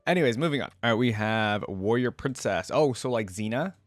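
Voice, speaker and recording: A man's voice: medium pitch, lively intonation, a little fast, no echo. A man giving casual, informal, lightly amused commentary as host of a reaction video.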